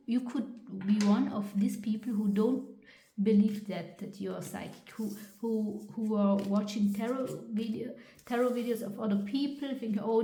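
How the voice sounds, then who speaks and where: A woman with a German accent, a native German speaker, giving a tarot reading in a halting way with many pauses and restarts. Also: medium pitch, mellow, reverberant. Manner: hesitant, halting with frequent ums and restarts. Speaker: tarot reader.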